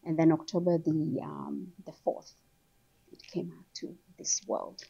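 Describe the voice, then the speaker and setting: A middle-aged woman from Somaliland, raised in Kenya and England, telling her story as an author guest in an animated, conversational way. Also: measured pace, vocal fry, breathy edge. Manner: animated storytelling, rises high when excited. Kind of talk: conversational interview. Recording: dull lo-fi sound.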